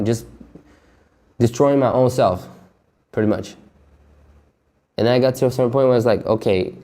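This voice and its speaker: Twenty-something guy venting, swears a ton, nervous little laughs, mid-pitch voice. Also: mellow. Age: 20s. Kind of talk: candid personal monologue.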